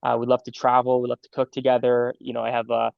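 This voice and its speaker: Guest: young man, medical student, gravelly and monotone, chatty and halting, dull dry recording. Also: mid-range voice, dry recording. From Los Angeles, California. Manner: friendly, halting with fillers and repeats. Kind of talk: casual interview answers.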